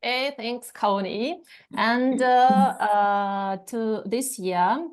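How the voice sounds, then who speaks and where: A woman's voice: a little high, drawn-out words, clean tone. Hesitant woman hosting a video call. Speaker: host of an online orientation. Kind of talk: casual, hesitant presenting with many fillers.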